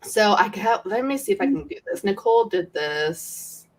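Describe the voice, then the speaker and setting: A woman's voice: medium pitch, expressive, moderate pace, rough recording. A woman co-hosting a casual group livestream, chatting in an informal, jokey way. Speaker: livestream co-host. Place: remote video call livestream.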